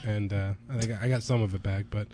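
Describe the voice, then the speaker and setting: A man co-hosting a casual podcast, hoarse from losing his voice and coughing now and then, joking crudely. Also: lowish voice, fairly even intonation, brisk, mellow, a little hiss or hum. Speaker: podcast co-host. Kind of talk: casual chat.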